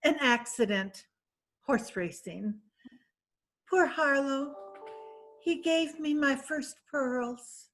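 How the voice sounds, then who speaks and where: A woman playing a vain, flirtatious wealthy widow in an amateur stage reading, laughing often and speaking in a slow, theatrical way. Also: high-pitched, fairly bright tone, soft background music, full character voice, rough recording. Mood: boastful. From San Francisco. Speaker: reader in a play reading. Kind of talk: amateur readers' theatre acting.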